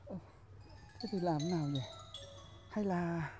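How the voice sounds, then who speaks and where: A man's voice: fairly high pitch, unhurried, over music, lightly acted. An actor with a Northern Vietnamese accent playing an awkward, solicitous man in a comedy sketch, hesitant and stammering. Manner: hesitant, flustered, stammering exclamations. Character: awkward, bashful, solicitous man living alone.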